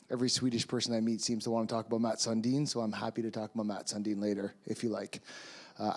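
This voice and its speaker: Canadian man giving a conference talk. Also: mid-range voice. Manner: relaxed and conversational, with frequent fillers. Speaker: conference speaker. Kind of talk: conference presentation. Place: large conference hall with audience. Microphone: handheld microphone.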